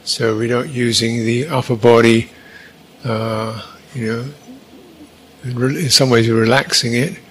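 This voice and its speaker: A man teaching a guided meditation, speaking in short, halting phrases with long pauses between them. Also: mid-range voice, steady tone, a little hiss or hum.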